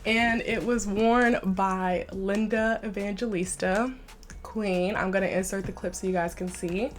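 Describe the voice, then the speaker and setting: A woman's voice: medium pitch, steady tone, a little slow, a little hiss or hum. A young woman with a stuffy nose from a slight cold, chatting enthusiastically to camera in a casual fashion vlog. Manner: chatty and enthusiastic, says "like" often. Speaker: fashion vlogger.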